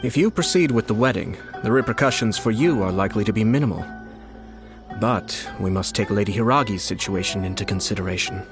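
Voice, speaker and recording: A man acts as a shrewd, courteous young nobleman from a video game. His voice sits low and his speech is measured and formal. Faint music plays behind him. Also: lightly acted. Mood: calm, calculating.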